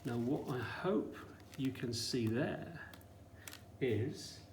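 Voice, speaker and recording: Man, science teacher, medium pitch, informal demonstration, explanatory, short check-in questions, echoey room recording. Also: lively intonation, very slow, a little mellow. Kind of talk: informal hands-on demonstration lesson. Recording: echoey room with faint background noise.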